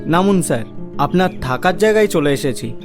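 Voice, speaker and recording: Obliging village lad in animation; high, bouncy intonation, eager to serve. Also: male voice, expressive, brisk, over music. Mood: cheerful. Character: eager, deferential young village helper. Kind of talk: acted cartoon dialogue.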